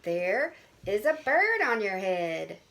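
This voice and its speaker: Woman playing matter-of-fact picture-book pig, cheerful, animated pitch, slow deliberate pace. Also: exaggerated voice. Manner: simple, deliberate, emphatic delivery.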